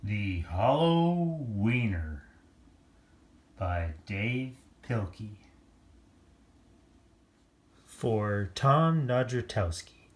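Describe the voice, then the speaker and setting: Children's read-aloud host: unhurried, playful delivery, drifting into chatty asides about the pictures. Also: mid-range voice, expressive. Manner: playful, lingering over pictures with exclamations. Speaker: children's story-time reader.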